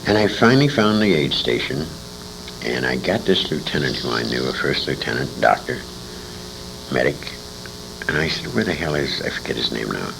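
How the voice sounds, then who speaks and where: An older male veteran with a low, gravelly voice recounts his memories in an interview. His delivery swings widely in pitch as he haltingly and emphatically acts out who said what, with salty language. It is an amateur tape with clear background noise. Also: lively intonation. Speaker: war veteran being interviewed. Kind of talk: anecdotal storytelling in an interview. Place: indoor interview at a convention. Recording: noisy amateur interview tape with room ambience.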